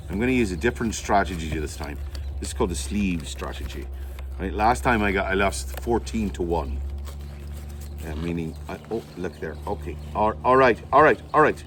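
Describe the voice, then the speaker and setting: Low-pitched man, lively swooping delivery, hammy showman hosting an unboxing. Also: moderate pace, slight background noise. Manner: theatrical, playful showman with mock announcements. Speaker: host, pipe unboxing. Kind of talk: playful unboxing vlog.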